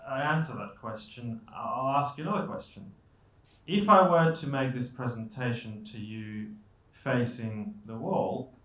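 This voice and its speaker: A man's voice: mid-range voice, fairly bright tone. A man from Colombia giving a conversational motivational talk to an audience, with self-deprecating humour and rhetorical questions. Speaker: guest speaker. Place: indoor event stage. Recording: echoey room.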